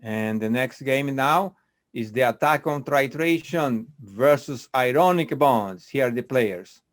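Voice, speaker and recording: A man moderating an online biochemistry quiz on a video call, speaking in a measured way with frequent fillers. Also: mid-range voice.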